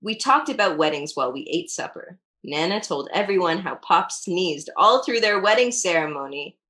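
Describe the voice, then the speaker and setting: A woman's voice: fairly low pitch, lively intonation, normal speed, sharp tone. A woman hosting a storytime, reading a children's picture book aloud expressively. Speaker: storytime reader and host.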